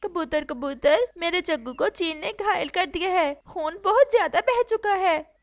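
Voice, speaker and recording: A high-voiced female crow from a cartoon fable played by a woman. She is a fierce mother, dramatic and highly emotional in how she speaks. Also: animated, unhurried, exaggerated voice. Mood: distraught. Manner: dramatic, pleading.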